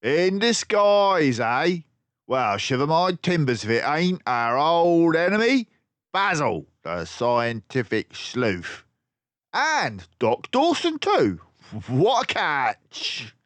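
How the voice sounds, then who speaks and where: Storybook villain, voiced by a male reader: rough, taunting mouse crook, raised pitch, swooping delivery. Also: wildly swooping pitch, slow pace, a bit bright, dry recording, exaggerated voice. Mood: gloating. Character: menacing, jeering male mouse gangster villain.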